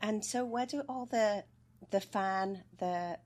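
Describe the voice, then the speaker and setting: Woman, average pitch, podcast host, casual interview chat, encouraging, informal. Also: slow, a little mellow.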